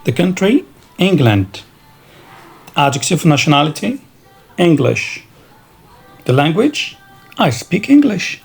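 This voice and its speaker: A man teaching English vocabulary, reading country and nationality words in a slow, deliberate drill. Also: on the high side, big pitch swings, soft background music. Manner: slow, deliberate, spells out each word. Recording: clear background noise.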